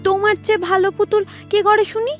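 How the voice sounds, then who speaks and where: A woman's voice: very high voice, level tone, a little fast, soft background music. An actress playing a playful, devoted teenage village granddaughter in an audio drama, girlish and coaxing. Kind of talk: Bengali audio story drama.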